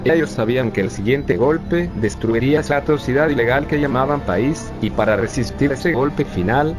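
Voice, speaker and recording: A man's voice: medium pitch, close to an everyday voice. A synthetic male voice voicing a professor who bitterly recalls his days as a young refugee. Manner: bitter, sarcastic storytelling. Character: urban planning professor recalling his youth. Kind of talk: scripted narration. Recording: music bed underneath.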